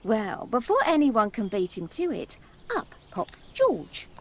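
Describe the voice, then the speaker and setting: A woman's voice: fairly high pitch, sing-song, slow pace, exaggerated voice. A woman playing a cheeky, theatrical young damsel in a stage play, telling her tale in a gossipy, confiding way. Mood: animated, mischievous. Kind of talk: stage play storytelling monologue.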